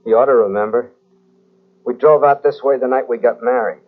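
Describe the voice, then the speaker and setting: Man acting quiet menacing crook, calm and slow, tinny narrow old radio sound. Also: mid-range voice, close to an everyday voice. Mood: quietly ominous. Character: menacing returned husband, Nicky, cold and calm.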